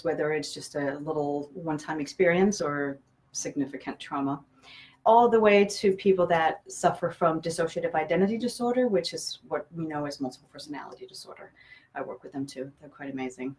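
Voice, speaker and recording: A woman's voice: low-pitched, brisk, darkish tone, low-quality recording. A woman from Denmark whose first language is Danish, answering conversationally as an interview guest and therapist.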